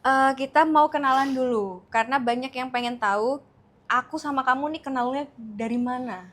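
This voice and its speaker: A woman in her thirties from Indonesia chats with her partner in a teasing, playful way. Her voice sits high and quick to tease. She talks freely as the show's co-host. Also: fairly bright tone. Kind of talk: casual couple chat.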